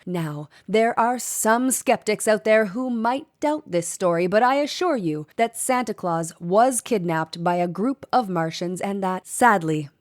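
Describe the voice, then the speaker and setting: A woman's voice: medium pitch, expressive, a little slow, few pauses, darkish tone, dry recording, natural voice. Lady doing a chirpy tv news reporter, folksy and earnest, dry close sound, radio play. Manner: folksy, presentational. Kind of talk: parody TV news report in audio drama.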